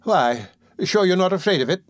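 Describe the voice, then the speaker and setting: A man's voice: sits high, animated, moderate pace, no echo, pitched above the performer's own voice. A man voicing a kindly, bustling old housekeeper woman with a good-humoured, motherly manner, in a storybook reading.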